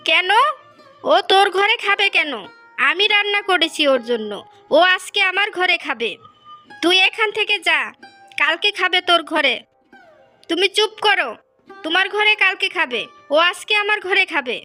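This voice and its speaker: A woman plays a jealous fisherman's wife in a cartoon fairy tale. The voice is extremely high and piercingly bright, insistent and argumentative, with music underneath. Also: animated, normal speed, pauses often, full character voice. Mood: possessive, quarrelsome. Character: jealous, bickering village wives of a fisherman.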